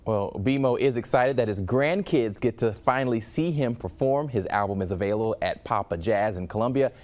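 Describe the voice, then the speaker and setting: A man's voice: fairly low pitch, moderate pace, a little mellow. A man working as a TV news reporter, delivering a feature in a polished broadcast style. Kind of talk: scripted news feature narration with some studio chat.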